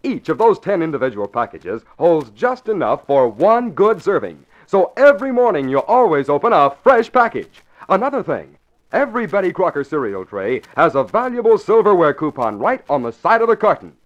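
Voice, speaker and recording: Vintage American male radio announcer, high-pitched, scripted sponsor delivery, muffled 1940s sound. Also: big pitch swings, moderate pace. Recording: dull old 1940s radio recording.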